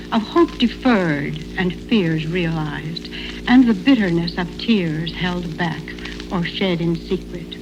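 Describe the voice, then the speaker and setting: A woman's voice: on the low side, lo-fi sound. An older American woman speaking formally and oratorically as a guest on a scripted 1940s radio broadcast.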